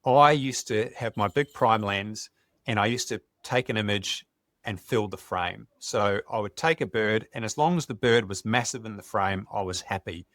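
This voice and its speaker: Australian man with a low, dry voice. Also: moderate pace, a bit bright, no room sound. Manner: enthusiastic, conversational, some restarts. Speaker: wildlife photographer guest. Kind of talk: relaxed podcast storytelling.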